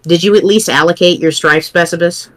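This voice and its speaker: A woman voicing a cocky, deadpan teenage boy in an amateur dramatic reading of a webcomic. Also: fairly low pitch, a little fast, lightly acted. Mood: cool, cocky. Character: cool, cocky teenage boy chatting online, teasing and bragging.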